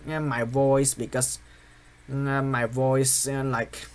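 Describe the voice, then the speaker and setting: A young man from Vietnam with a Vietnamese accent, English not his first language, giving a halting, apologetic personal confession full of fillers and restarts. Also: medium pitch, little pitch movement, smooth. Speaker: channel host.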